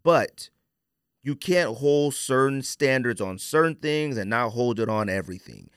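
A man's voice: mid-range voice, animated, unhurried, smooth, dry recording, studio quality. An American man hosting a casual podcast, giving opinions in an emphatic, slangy way and repeating phrases for emphasis. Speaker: podcast host.

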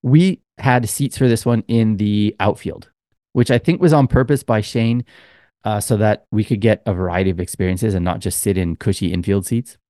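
A man's voice: fairly low pitch, normal speed, dark tone, dry recording, studio quality. A man co-hosting a podcast, telling a long, rambling story in casual chat with lots of fillers. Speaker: podcast co-host.

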